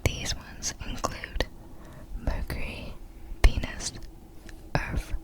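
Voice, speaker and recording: A woman speaks entirely in a breathy whisper, in the style of an ASMR creator. Her pace is very slow, with soft, measured phrases set apart by small pauses as she reads out facts.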